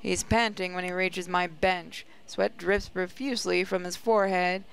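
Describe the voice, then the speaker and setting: A woman's voice: lowish voice, steady tone, moderate pace, vocal fry, slight background noise. A woman gaming host reading visual novel narration aloud, stumbling over the odd word as she goes. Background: prominent music.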